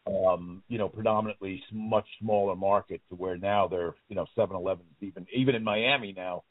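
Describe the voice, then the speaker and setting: A man's voice: deep voice, steady tone, brisk. Guy rambling thru stories, repeats himself a lot, sounds like he's on a phone line. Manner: rambling, repeats words, laughs easily. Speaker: guest. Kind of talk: casual storytelling interview.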